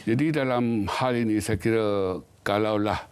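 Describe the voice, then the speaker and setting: A man from Malaysia, a professor guest on a studio panel discussion, speaking in a measured, thoughtful way with frequent hesitations. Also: on the low side, steady tone, smooth.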